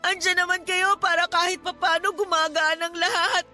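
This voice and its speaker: A woman's voice: very high-pitched, little pitch movement, moderate pace, sharp tone, soft background music, heavily acted. An actress playing a stubborn, lovesick young mother in a radio drama, pleading tearfully but defiantly. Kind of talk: Tagalog radio drama acting.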